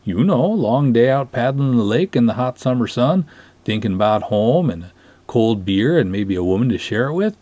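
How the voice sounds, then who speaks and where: A man's voice: medium pitch, animated, moderate pace, warm tone, no echo, dull audio, lightly acted. A man playing an easygoing canoe cop who talks in a folksy, joshing way to his pal in a story reading. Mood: amused. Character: teasing, easygoing male canoe cop buddy.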